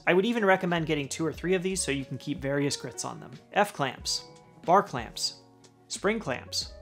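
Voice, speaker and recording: A man's voice: a little high, fairly bright tone. Upbeat man running down a tool list. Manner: upbeat list-style delivery, dropping at the end of each item. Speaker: woodworking channel host. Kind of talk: scripted product rundown. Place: home garage workshop.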